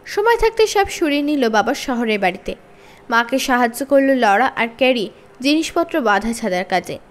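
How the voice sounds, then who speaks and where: A woman narrates a story for children. Her voice sits high and moves with lively swings in pitch. The reading is expressive, in the manner of a storyteller. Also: moderate pace.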